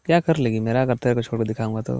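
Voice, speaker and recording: A man's voice: racing, darkish tone, slight background noise. A young man in a casual private chat on a phone call. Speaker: caller. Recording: muffled low-fidelity phone call recording.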